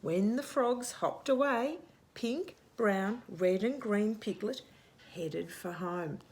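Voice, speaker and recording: A woman reading a picture book aloud to young children as a storytime host, expressive, with hushed asides and questions to the listener. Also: medium pitch. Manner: animated, expressive, asks questions and pauses for answers.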